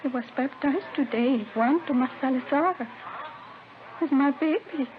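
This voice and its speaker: An actress playing a desperate, insistent wife in a 1950s radio drama, on an old noisy recording. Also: female voice, high voice, measured pace, phone-quality sound. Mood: insistent denial. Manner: pleading, insistent denials in short phrases. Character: desperate young wife clinging to a stolen baby.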